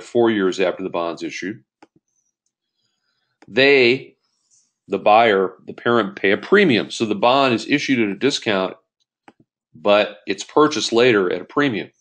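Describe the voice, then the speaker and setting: A man's voice: on the low side, fairly bright tone. A man giving an explanatory lecture as an accounting instructor, working step by step through an example and restating key points. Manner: step-by-step, rephrases key points, poses questions to himself.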